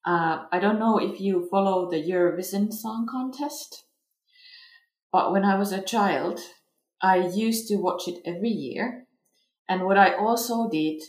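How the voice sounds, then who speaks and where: Woman in her fifties, slow and reflective, roomy echo. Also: mid-range voice. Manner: reflective, storytelling. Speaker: senior lecturer. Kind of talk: interview answer. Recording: echoey room.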